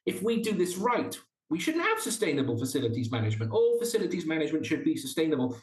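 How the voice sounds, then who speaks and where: A man's voice: on the high side, wildly swooping pitch, quick pace, echoey room. A man from South Wales with a Welsh accent, a podcast guest speaking earnestly and often restarting his sentences. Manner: earnest, with frequent restarts and stumbles. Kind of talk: casual interview chat.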